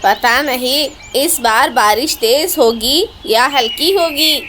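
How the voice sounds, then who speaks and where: Woman doing a worried cartoon bird guy, high voice, talks slow, fretful. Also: sharp tone, a little hiss or hum, exaggerated voice. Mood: anxious. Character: anxious male bird fretting over his home.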